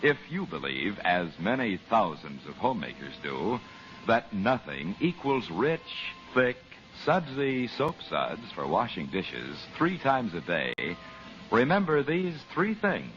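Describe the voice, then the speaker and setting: A low-voiced American man working as a 1940s radio announcer. His delivery is theatrical and deliberate, with wide swings in pitch. The old broadcast sounds dull, with faint music behind. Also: huge pitch swings, fairly bright tone.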